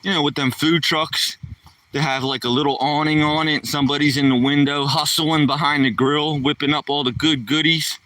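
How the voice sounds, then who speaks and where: A man's voice: on the high side, steady tone, normal speed, pushed and bright, far from the mic. A man in his sixties chatting casually on a live stream while walking his dog outdoors, rambling in a folksy, slangy way into a handheld phone. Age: 60s. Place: outdoors on a neighborhood walk.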